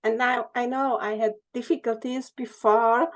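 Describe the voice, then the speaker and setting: A woman's voice: a little high, fairly even intonation, normal speed. A woman with a Czech accent, a dance teacher, speaking hesitantly in English as she searches for words.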